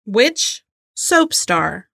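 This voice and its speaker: A woman's voice: medium pitch, big pitch swings, drawn-out words, gravelly, a bit bright, no room sound, clean studio sound. A woman co-hosting a trivia game in casual chat, with a playful, teasing manner. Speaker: co-host of a trivia game podcast.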